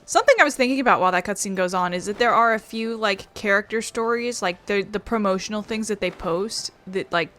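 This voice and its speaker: A woman's voice: mid-range voice, fairly bright tone. A woman streamer giving casual gameplay commentary in a rambling, playful manner. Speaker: streamer playing a game.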